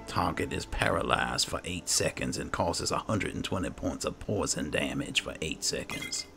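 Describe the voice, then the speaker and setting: A man with an extremely deep voice full of heavy fry hosts a game playthrough. He mutters to himself and reads item text without hurry, and game music plays clearly behind him. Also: moderate pace. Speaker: gaming video host. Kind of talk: roleplay game playthrough commentary, reading item text aloud. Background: video game music.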